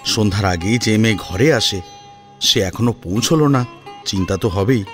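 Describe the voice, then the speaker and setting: A man's voice: lowish voice, moderate pace, soft background music. A man narrating a scripted audio drama in a dramatic storytelling style. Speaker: narrator and voice actor.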